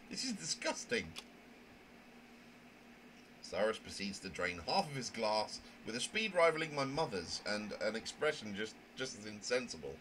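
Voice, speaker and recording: A man acts out a prim young visual novel character who narrates in fussy, theatrical phrases. The voice sits fairly low and sounds indignant and proper. The recording carries noticeable noise. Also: fairly bright tone. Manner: wordy, fussy, theatrical diction. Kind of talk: dramatic reading of a visual novel. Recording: noisy home microphone recording.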